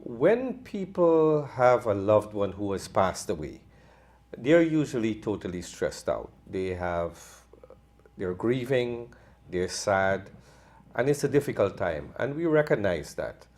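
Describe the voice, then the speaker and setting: A man in his fifties from Guyana, a doctor, answering interview questions in a calm, measured way. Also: fairly low pitch. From Guyana, New Amsterdam. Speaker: medical doctor, crematorium co-owner. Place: studio.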